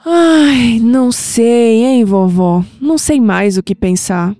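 A woman as a pensive young Brazilian woman, slow. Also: a little high, dark tone, in a large hall. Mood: confused. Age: young adult. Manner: pensive, sighing. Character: troubled, introspective young skeptical woman. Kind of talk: scripted audio drama acting.

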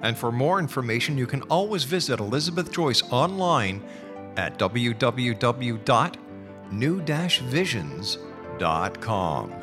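A man's voice: mid-range voice, sing-song, unhurried, over music. A man hosting a radio show, speaking in an emphatic, showman-like announcer style.

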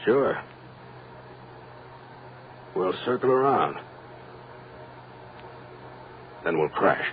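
Military major, stubborn and unravelling, highly animated urgent delivery, faintly noisy old-time radio sound. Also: male voice, slow. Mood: dazed. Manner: urgent, dramatic, questioning. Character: frantic, stubborn military major, a man losing his grip. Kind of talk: 1940s radio horror drama acting. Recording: tinny, hissy 1940s radio recording.

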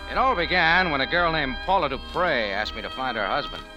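An actor playing a wry, tough American café owner and sleuth in a hard-boiled 1940s radio drama style. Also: male voice, mid-range voice, lively intonation, fairly quick, music in the background, close to an everyday voice, poor audio. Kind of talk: 1940s radio acting, first-person narration and dialogue. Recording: vintage radio recording.

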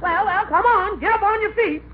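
A man's voice: extremely high pitch, normal speed. An actor voicing a sour, scolding old mother-in-law with blunt delivery in old radio comedy. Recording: very noisy, dull old radio recording.

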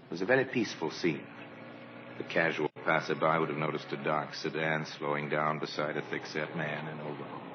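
A grave male narrator of an old radio crime series, with an extremely deep voice. He tells it deliberately and portentously. The recording is dull and clearly noisy. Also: steady tone. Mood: grave, measured.

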